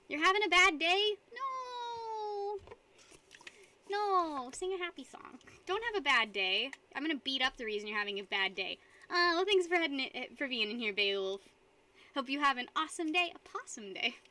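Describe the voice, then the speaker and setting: A woman's voice: high-pitched, lively intonation, normal speed, sharp tone, slight background noise. A young woman streaming live, chatting casually with her viewers and rambling between frequent giggles. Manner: giggly, rambling, reads chat aloud.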